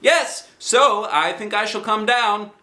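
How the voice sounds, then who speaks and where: Silly old storybook toy bear, way high pitched, solemn n anxious, drags words out, roomy echo. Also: male voice, bright, forceful tone, exaggerated voice. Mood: flustered, decided. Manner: ponderous, solemn, drawn-out phrasing. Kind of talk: children's storybook read-aloud.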